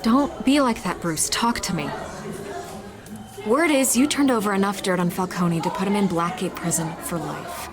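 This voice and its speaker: A woman's voice: medium pitch, normal speed, lightly acted. A woman voicing a probing newspaper reporter in a video game, insistent and coaxing. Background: music.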